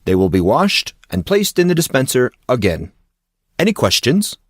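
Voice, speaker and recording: A man's voice: mid-range voice, sing-song, normal speed, breathy edge, no echo, pitched above the performer's own voice. A man puts on an eccentric male coach from an audiobook tale, a former football player with courtly manners. He speaks in grandiose, formal sentences, effusively polite, in a close, dry sound. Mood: formal, instructive. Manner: formal, flowery, grandiose phrasing.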